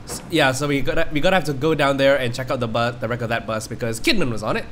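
A man's voice: medium pitch, very fast, fairly bright tone, a little hiss or hum. A man with a stuffy nose from a cold, giving rambling, reactive commentary while he plays a horror game and talks to the screen. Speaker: let's play host. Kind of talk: casual gameplay commentary.

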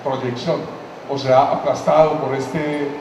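A man moderating a public talk, measured and summarizing as he invites questions from the audience. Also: medium pitch, flat delivery, noisy background. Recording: echoey hall with long reverb from far off.

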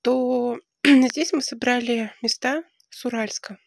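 Husky-voiced woman hosting a travel vlog. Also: a little high, fairly even intonation, slow pace, dry recording, studio quality. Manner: chatty, explaining plans to a companion. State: slightly hoarse from a cold. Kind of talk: casual vlog chat.

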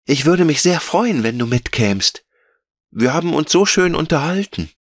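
A man's voice: a little high, expressive, moderate pace, dry recording, studio quality, voice pushed up. A man voicing a curious, innocent little girl in a fairy-tale audiobook, with an eager and naive way of talking. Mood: wistful, curious. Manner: eager, naive, lilting questions.

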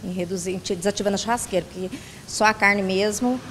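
Fast-talking young Brazilian woman. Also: mid-range voice, steady tone, a bit bright, slight background noise. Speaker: restaurant owner being interviewed. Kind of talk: informal interview answer. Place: indoors in a restaurant dining room. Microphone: handheld reporter microphone.

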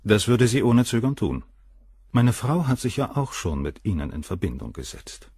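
A proud professor of surgery in a crime radio play. His low voice rises and falls freely, dry and close. He speaks with composed, cold condescension. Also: big pitch swings, normal speed, a little mellow, breathy edge, dry recording. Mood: cool, condescending. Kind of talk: German radio crime drama acting.

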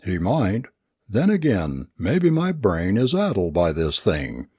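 Man playing a learned doctor, animated lecturing. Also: deep voice, slow, husky, no echo, clean studio sound, heavily acted. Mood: expounding. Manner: formal, lecturing, rhetorical. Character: learned, speculative male doctor, expounding gravely.

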